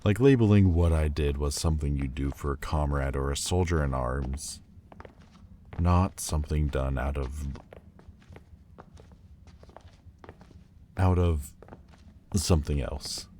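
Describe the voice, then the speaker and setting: Man playing a very deep, haughty fallen demon lord. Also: dark tone, a little hiss or hum. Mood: guarded, vulnerable. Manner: haughty, theatrical, hesitant pauses. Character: proud, wounded male demon lord turned mortal. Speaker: audio roleplay voice actor. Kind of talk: dramatic ASMR roleplay monologue.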